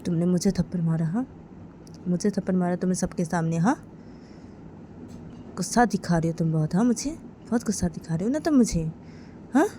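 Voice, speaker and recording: A woman plays a defiant young wife in a told family story. She is bossy and insistent, repeating her commands in a lowish, very mellow voice. Slight noise is heard behind her. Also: a little fast, pauses often, lightly acted. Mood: accusing.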